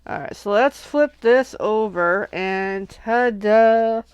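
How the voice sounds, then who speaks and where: A woman's voice: mid-range voice, slow, clean tone, dry recording. A sniffly woman hosting a casual crafting livestream, chatting and thinking aloud as she unboxes and works at home.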